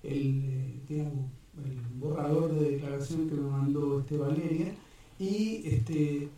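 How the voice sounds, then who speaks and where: A man giving a talk at a community forum, pausing often and restarting his sentences. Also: a little high, warm tone. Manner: hesitant, many fillers and restarts. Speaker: park defender, invited speaker. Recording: echoey hall, mic far off.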